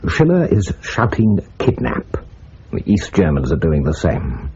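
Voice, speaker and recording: Senior British spymaster for radio drama: very husky, low and dark, scathing authority, slightly muffled recording. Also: male voice, wildly swooping pitch, measured pace, full character voice. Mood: exasperated. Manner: cutting, authoritative. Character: stern, angry senior British intelligence chief, man.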